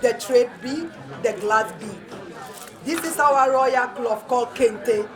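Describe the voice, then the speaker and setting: A woman's voice: fairly high pitch, takes it slowly, far from the mic, music in the background, a crowd in the background. A woman from Ghana with a Ghanaian accent, proudly presenting her goods at a trade fair. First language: English. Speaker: exhibitor. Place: crowded trade fair hall. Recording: echoey crowded fair from afar.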